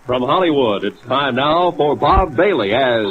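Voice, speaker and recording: A man's voice: medium pitch, animated, unhurried, background noise. A man announcing a scripted radio show in 1950s style. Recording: tinny old radio, music behind.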